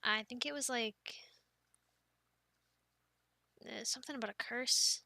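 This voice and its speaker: A young woman with a high, very bright voice performs ASMR roleplay. She has a playful, girlish delivery, recorded close and dry. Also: a little fast, creaky, dry recording. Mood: playful chat. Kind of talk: ASMR roleplay, whispered storytelling and casual chat.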